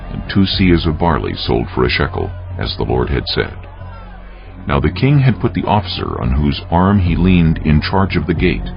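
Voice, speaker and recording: Male narrator, dramatic scripture reading. Extremely low, animated delivery, slightly dull recording. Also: gravelly. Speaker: narrator of a dramatized Bible audiobook. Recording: dull, muffled audio.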